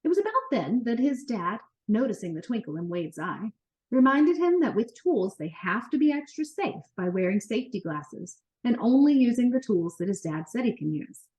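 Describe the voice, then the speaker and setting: A woman narrating a children's book as an expressive, animated read-aloud storyteller. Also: fairly high pitch, moderate pace, poor audio.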